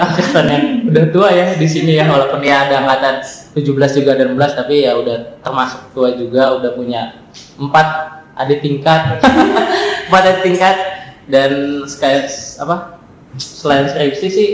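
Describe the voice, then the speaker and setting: A man in his twenties with a Jakarta accent, chatting as a podcast guest in a relaxed, halting way with frequent "eh" fillers and chuckles. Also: medium pitch, heavy reverb, slight background noise, poor audio. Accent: Jakarta Indonesian. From Jakarta, Indonesia. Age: 20s. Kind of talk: casual podcast chat.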